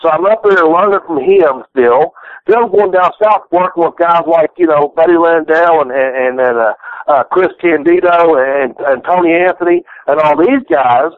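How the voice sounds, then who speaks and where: A man's voice: fairly high pitch, moderate pace, dry recording. A middle-aged man with a Southern accent, telling old stories in a rambling, profane, folksy way, often restarting his sentences. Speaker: retired pro wrestler guest. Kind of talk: candid storytelling interview. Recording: tinny phone line, dry.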